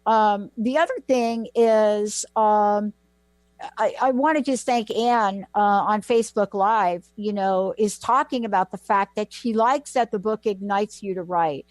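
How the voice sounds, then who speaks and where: A woman's voice: medium pitch, a little slow, dry recording. Effusive woman hosting a talk radio show. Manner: gushing, effusive.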